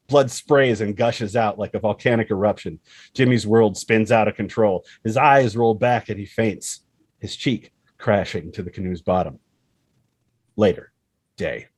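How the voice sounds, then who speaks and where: A man's voice: fairly low pitch, a little mellow, breathy edge, dry recording. A man reading a screenplay's action lines as dramatic narration, expressively and in short punchy phrases. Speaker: screenplay reader.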